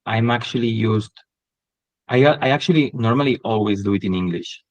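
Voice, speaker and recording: Soft-spoken Catalan-accented man, low voice. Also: moderate pace, highs cut off. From Catalonia, Spain. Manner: hesitant, many ums and restarts. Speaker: podcast guest. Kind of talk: casual interview chat.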